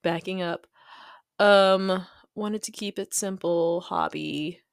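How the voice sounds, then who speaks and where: Lowish voiced woman rambling casually about her hobby, giggly n self-deprecating, close dry sound. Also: steady tone, takes it slowly, vocal fry, dry recording. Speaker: hobby planner video creator. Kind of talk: casual rambling chat over a craft video.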